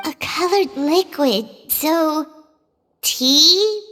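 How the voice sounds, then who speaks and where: A woman voicing a small, excitable, curious fairy girl with a squeaky childlike voice in a video game. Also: drawn-out words, exaggerated voice. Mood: eager, curious. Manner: exclamatory, speaks of self in third person. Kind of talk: video game character acting. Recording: music playing behind the voice.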